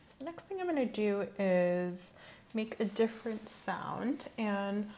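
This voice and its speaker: A soft-spoken woman in an ASMR medical exam roleplay, giving gentle, measured instructions as the examiner. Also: mid-range voice, sing-song, a little hiss or hum. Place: small indoor room set up as an exam room.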